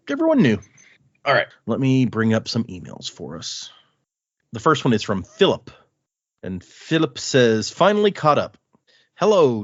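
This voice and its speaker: A man hosting a podcast, reading a listener's email aloud and adding his own quick asides between lines. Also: medium pitch, no echo. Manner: reads with lively asides and comments between lines. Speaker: podcast host. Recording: dry, slightly dull lo-fi sound.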